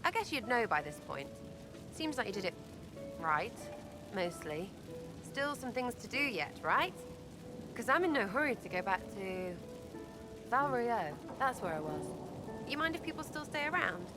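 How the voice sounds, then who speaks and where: An actress playing a scrappy young rogue in a fantasy game, brash and slangy. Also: female voice, on the high side, very animated, normal speed, pauses often. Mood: cheeky, restless. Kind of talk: dramatic game dialogue. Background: music.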